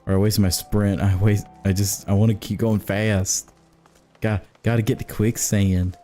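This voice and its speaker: Male streamer, relaxed low tone, jokey casual chat over faint game music. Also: unhurried. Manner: playful, jokey. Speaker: livestream host playing a game.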